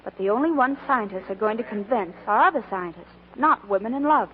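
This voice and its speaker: A woman's voice: a little high, lively intonation, fairly quick, a little hiss or hum, close to an everyday voice. An actress playing an earnest, plainspoken young working woman in love, with an American accent, in 1940s radio style. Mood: wistful, sincere. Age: young adult. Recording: muffled old radio broadcast with music.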